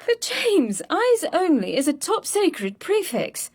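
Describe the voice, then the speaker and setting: A woman acting a brisk, fussy, devoted secretary to a spy in a radio drama, eager and proper in her expressive delivery. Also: extremely high pitch, full character voice. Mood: brisk, coaxing.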